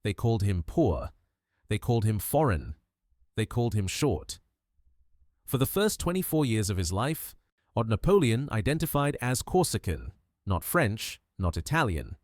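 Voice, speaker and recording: A man narrates a history documentary in short, punchy, dramatic phrases. His pitch rises and falls widely from line to line. The sound is dry, with no room echo. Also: sing-song, normal speed, darkish tone.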